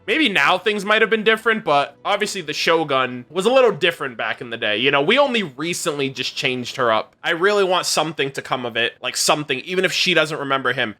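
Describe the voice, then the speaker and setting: Bright, high-voiced young man reacting emphatically. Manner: emphatic, slangy, sweary, hyperbolic. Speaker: reaction streamer. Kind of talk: casual gaming reaction commentary.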